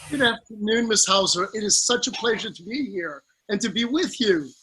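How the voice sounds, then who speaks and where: A man's voice: sits high, animated, moderate pace, bright, forceful tone, slight background noise, poor audio. A middle-aged man from the Caribbean, a family law judge, giving earnest interview answers over a video call with frequent restarts and fillers.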